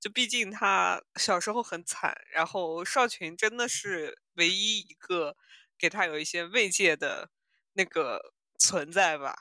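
A woman's voice: fairly low pitch, unhurried, sharp tone, no echo. A woman co-hosting a podcast, chatting casually in a giggly, conversational way. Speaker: podcast host. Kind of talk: casual chat.

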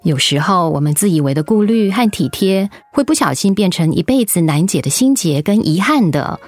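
An actress playing a caring, gently earnest cancer survivor advising a colleague in a radio drama. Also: female voice, on the low side, expressive, moderate pace, no echo, soft background music. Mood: gentle, encouraging. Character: caring older female colleague who survived breast cancer.

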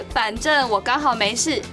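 A woman's voice: a little high, a little slow, pushed and bright, soft background music. A woman playing a cheerful, teasing female college freshman in a radio drama, speaking Taiwanese Mandarin in a sweet, playful way.

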